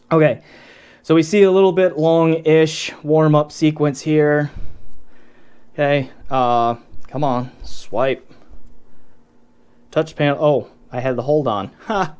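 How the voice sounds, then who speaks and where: A man's voice: medium pitch, a little mellow. Casual man reviewing gadgets. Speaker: product reviewer. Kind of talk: casual unscripted audio gear review.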